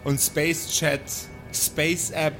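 A man improvising narration as game master of a tabletop audio game, with theatrical delivery and deliberate pauses. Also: on the high side, level tone, a bit bright. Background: background music.

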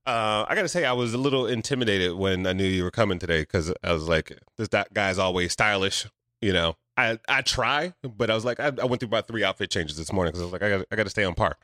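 A man's voice: lowish voice, quick pace, pushed and bright, dry recording. A man hosting a podcast in a playful, teasing way, joking and making silly sounds, with a close studio mic. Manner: jokey, makes silly sound effects, teasing. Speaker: podcast host. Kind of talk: playful casual interview chat.

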